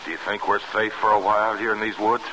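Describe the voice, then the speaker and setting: An actor in an old-time radio drama playing an anxious escaped prisoner of war, worried and questioning, on a noisy vintage recording. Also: male voice, lowish voice, level tone, fast, bright, forceful tone. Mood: weary worry.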